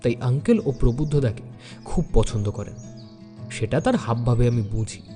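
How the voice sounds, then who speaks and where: A man narrating a detective audio story in the first person as an earnest university student, expressive and dramatic. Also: mid-range voice, moderate pace, big-room sound, faint music behind, natural voice. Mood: reflective.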